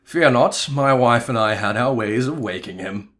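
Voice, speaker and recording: A man voicing a grandiose, theatrical gentleman father and brawny hero, declaimed melodramatically from game dialogue. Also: medium pitch, moderate pace, lightly acted. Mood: grand, confident. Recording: music behind the voice.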